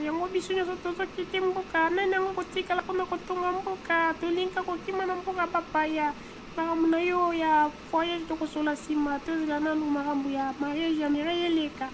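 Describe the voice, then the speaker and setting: A woman's voice: sits high, background noise, natural voice. A woman with a Congolese accent playing a talkative woman in a cartoon. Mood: exasperated. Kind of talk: animated cartoon dialogue in Lingala and French.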